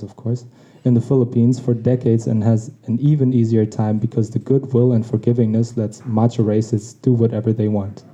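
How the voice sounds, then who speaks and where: A man whose first language is Tagalog reads a personal essay aloud, thoughtful and unhurried. His voice is deeply mellow and soft-edged. The recording sounds dull and a little lo-fi. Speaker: podcast host.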